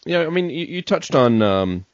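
A man's voice: fairly high pitch, very animated, moderate pace, no room sound. A man hosting an interview podcast, asking casual unscripted questions in a tentative, roundabout way. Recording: dry but dull, lo-fi audio.

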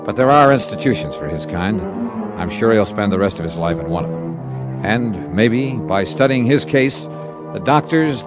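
A man narrating and playing a hard-boiled insurance detective in a 1950s radio drama, terse and matter-of-fact. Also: lowish voice. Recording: old radio broadcast with music.

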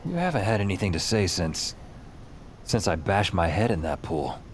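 An actor playing a weary, sardonic hero who narrates his dark supernatural trip in a wry, deadpan way. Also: low voice, normal speed, slight background noise. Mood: urgent. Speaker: lead character and narrator. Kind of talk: noir-tinged first-person audio drama narration. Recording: voice under loud music.

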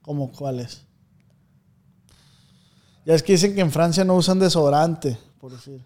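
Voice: medium pitch, moderate pace, mellow. An interviewer hosting a casual chat, asking playful, teasing questions.

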